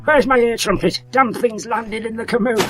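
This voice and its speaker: A man's voice: very high voice, steady tone, moderate pace, noisy background. A man voicing an ancient, irritable, muttering old professor and vampire hunter in a comic audio drama. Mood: crotchety, startled. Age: 136 years old as played. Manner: muttering, repetitive, cantankerous.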